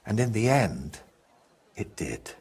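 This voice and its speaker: An actor playing a troubled, guilt-ridden medieval painter in a video game, with a husky, halting and brooding delivery. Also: male voice, lowish voice, very animated, darkish tone, exaggerated voice. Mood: regretful. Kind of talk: video game dramatic dialogue.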